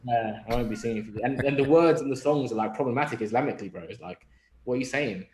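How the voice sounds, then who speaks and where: A man's voice: medium pitch, fast talker, darkish tone. A young man from London with a London accent, chatting casually and reflectively on a podcast, thinking aloud and posing questions to himself. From London, England. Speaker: podcast speaker. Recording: roomy echo on the voice.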